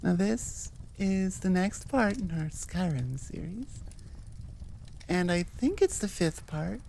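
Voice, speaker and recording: A woman's voice: fairly low pitch, lively intonation, takes it slowly, creaky, dark tone, a little hiss or hum. A woman chatting casually to her listeners as an audio roleplay creator, rambling in a jokey, self-deprecating way. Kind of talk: casual outro chat to listeners.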